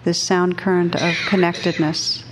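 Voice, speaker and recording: A woman meditation teacher leading a guided meditation for a group, speaking gently and unhurriedly with long pauses between phrases. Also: fairly low pitch, flat delivery, noisy background.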